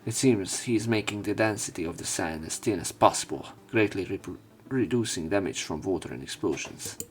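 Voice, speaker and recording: A man's voice: on the low side, expressive, fairly quick. A man doing a dramatic read-aloud of a visual novel as a let's play narrator, with some commentary.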